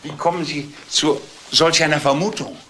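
An actor playing a formal, wistful older businessman, with halting, careful phrasing, in a crime drama. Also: fairly high pitch, sharp tone, a little hiss or hum. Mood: disbelieving.

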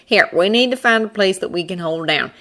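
A woman's voice: mid-range voice, lively intonation, a little fast, bright, forceful tone. A woman voicing a plain-spoken, polite but argumentative Texan man, an aeronaut, in a storybook reading. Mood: urgent, frank.

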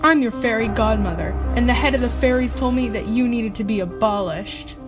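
A woman's voice: on the high side, a little fast, over music, lightly acted. A woman playing a mocking, menacing bully in an amateur radio play. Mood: taunting.

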